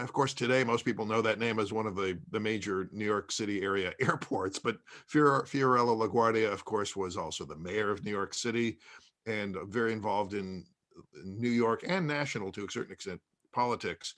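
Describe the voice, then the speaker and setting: Man explaining in halting phrases. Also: lowish voice, expressive, a bit bright. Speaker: panelist. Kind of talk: conversational discussion of old radio.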